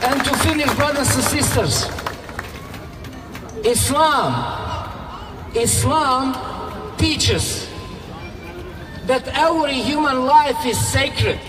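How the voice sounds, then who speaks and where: A man from Bosnia with a Bosnian accent giving a slow, measured rally speech that rises to fervent, raised passages. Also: extremely high pitch, crowd noise. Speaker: speaker at a solidarity rally. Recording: echoey public-address sound from far off, music behind.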